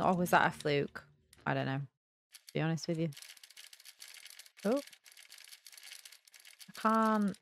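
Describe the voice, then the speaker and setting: A young adult woman giving casual let's-play commentary, thinking aloud in a playful, self-deprecating mutter. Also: on the low side. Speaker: gaming video host.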